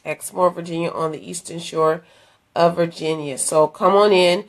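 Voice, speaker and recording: A middle-aged woman, hoarse from a cold, chatting earnestly into a phone at arm's length in a dim room, inviting viewers to an event. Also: on the low side, steady tone, moderate pace, poor audio. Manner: chatty, earnest, with many fillers and restarts. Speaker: ministry leader promoting an event. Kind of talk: casual live-stream invitation. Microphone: phone held at arm's length.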